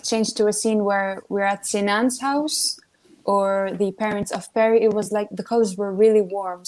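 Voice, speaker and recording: A woman's voice: mid-range voice, a little mellow, rough recording. A woman in her early twenties from Palestine, talking hesitantly with many fillers. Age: 20s. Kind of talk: casual video chat.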